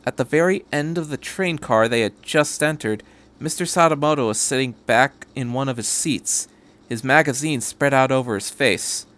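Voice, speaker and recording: Guy reading fan fiction out loud for a podcast, medium-pitched voice, storytelling narration. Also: normal speed. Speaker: podcast host and story reader.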